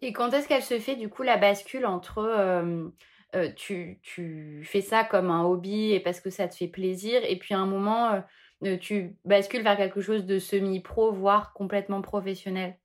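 A woman hosting a podcast, asking a guest casual questions in a halting, filler-heavy way. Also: mid-range voice, fairly even intonation. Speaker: podcast host.

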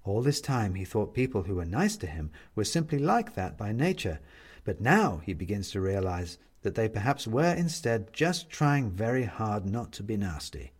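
A man narrating a scripted story as an audiobook reader, in a wry, measured manner. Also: on the low side, very animated, husky.

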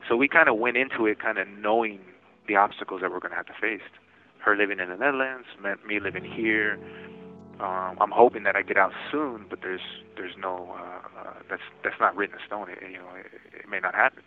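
A man in his forties talking casually from prison over the phone, halting and often restarting his words. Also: on the low side. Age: 40s. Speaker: interviewee in prison. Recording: tinny prison phone line.

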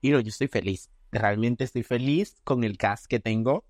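A man's voice: mid-range voice, no echo, clean studio sound. Young Venezuelan man hosting a podcast. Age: 20s. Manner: halting, many restarts and fillers. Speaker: podcast host. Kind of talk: casual podcast chat.

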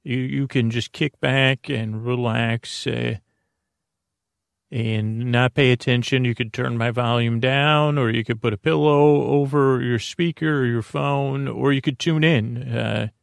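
Creaky-voiced man rambling softly. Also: mid-range voice, steady tone, unhurried, no room sound, studio quality. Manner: meandering, self-interrupting, gently soothing. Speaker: podcast host. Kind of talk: slow rambling bedtime monologue.

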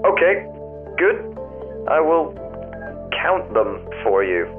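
An actor playing a hesitant, wry and affable phone tester in an audio drama, talking in a halting, bemused way through a telephone filter. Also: male voice, a little high, very animated. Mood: obliging, polite. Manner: halting, vague, literal-minded, politely bemused. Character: hesitant, affable, wry man who tests the tower phones. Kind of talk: naturalistic audio drama dialogue. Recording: thin phone-line sound, music underneath.

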